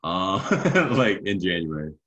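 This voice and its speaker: A man's voice: low voice, big pitch swings, slow pace, a bit bright, slightly rough, no room sound, muffled recording. A man in his late twenties chatting casually as a podcast guest, laid-back, laughing often and swearing. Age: 20s. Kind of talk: casual chat.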